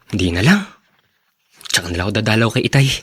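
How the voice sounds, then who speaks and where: A man's voice: fairly low pitch, fairly bright tone, slightly rough, full character voice. An actor playing a hesitant, insecure youngest brother in a radio drama, anxiously questioning his welcome.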